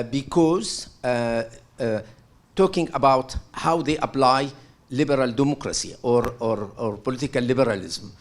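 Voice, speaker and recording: Hesitant middle-aged man asking a question. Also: medium pitch. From Syria. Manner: hesitant, many fillers and restarts, earnest. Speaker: audience member asking a question. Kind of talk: halting question from the floor.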